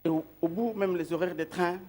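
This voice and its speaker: Male preacher, higher-pitched, measured and reflective, questions the congregation and repeats key words. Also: steady tone, a little mellow, soft background music. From outside Belgium. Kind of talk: sermon.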